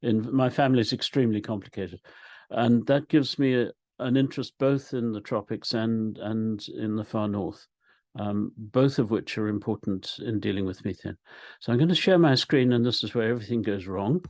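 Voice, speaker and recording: A man's voice: fairly low pitch, normal speed, a little mellow, dry recording, studio quality. An older man from Africa, a professor opening a video call talk in a chatty, self-deprecating way. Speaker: guest speaker, professor. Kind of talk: casual talk opening a lecture. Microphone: computer mic on a video call.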